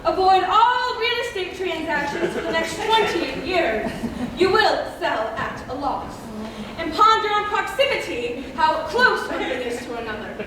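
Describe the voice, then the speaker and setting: A woman's voice: extremely high pitch, very animated, measured pace, full character voice. A female student actor playing an eccentric, sly soothsayer with theatrical ominous airs in a live stage comedy. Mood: ominous, declamatory. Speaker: student actress in a school one-act play. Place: large hall, recorded far from the stage. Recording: very echoey distant hall recording, noisy.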